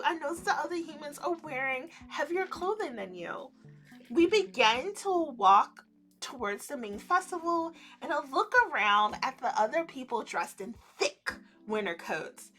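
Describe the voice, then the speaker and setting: A woman streamer reading a visual novel aloud in an animated let's-play style, breaking into giddy, giggly reactions and commentary. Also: extremely high pitch.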